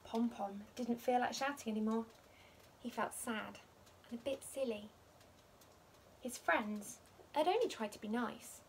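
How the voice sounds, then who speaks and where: A young woman reading a children's picture book aloud like a teacher, expressive and measured. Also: a little high, a little hiss or hum. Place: living room by a fireplace.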